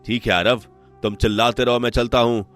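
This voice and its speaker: A man voicing a greedy, scheming young prince in an animated story, gloating and menacing. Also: mid-range voice, a little fast, soft background music, close to an everyday voice. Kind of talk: animated moral story voice acting.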